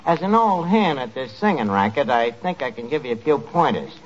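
A man's voice: mid-range voice, very animated, brisk, close to an everyday voice. Guy doing cocky new york bar manager, slangy bluffer, voice all over the place, crackly old radio show. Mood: breezy. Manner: slangy, mangles his words. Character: boastful, bluffing wisecracking male tavern manager. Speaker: comic lead of a radio sitcom. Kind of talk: 1940s radio comedy acting. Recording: muffled, noisy 1940s radio recording.

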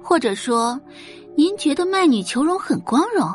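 A woman's voice: sits high, sing-song, normal speed, faint music behind, voice pushed up. A woman voicing a defiant young daughter in an audio drama, airy and nonchalant, with a cool sarcastic edge. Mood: cool mocking.

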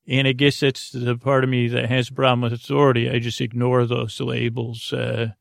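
A male podcast host delivers a meandering bedtime monologue meant to lull listeners to sleep. His medium-pitched voice is full of gravelly fry, and he rambles haltingly with a self-deprecating bent. The sound is very dry, with little room on it. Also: monotone, dry recording. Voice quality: gravelly, creaky.

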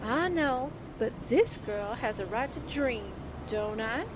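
A woman's voice: on the high side, animated, slow pace, background noise. A woman playing a breezy, slangy, wistful young newspaper sidekick in a 1940s-style radio drama. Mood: dreamy, breezy.

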